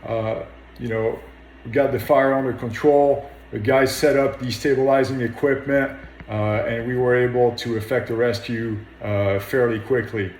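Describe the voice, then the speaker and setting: A man speaks in an interview as a firefighter. His medium-pitched voice sounds plain and modest, recorded with a noticeable room echo. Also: fairly even intonation, normal speed, a little mellow, a little hiss or hum. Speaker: firefighter officer. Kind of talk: interview account of an incident.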